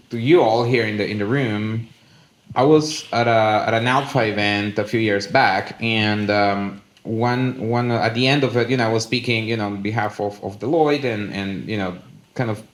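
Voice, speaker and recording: A man from El Salvador speaks on a conference panel in an echoing room in front of a live audience. His delivery is earnest and candid, full of restarts and repeated words, and the hall's reverb trails behind each phrase. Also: fairly low pitch. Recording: roomy hall echo.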